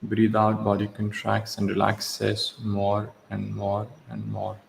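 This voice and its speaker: A man leading a guided relaxation as a yoga instructor, speaking in short, measured instructions with long pauses, recorded in a reverberant room. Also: fairly low pitch, completely flat delivery, vocal fry. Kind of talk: slow guided meditation. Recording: echoey reverberant room, faint background hiss.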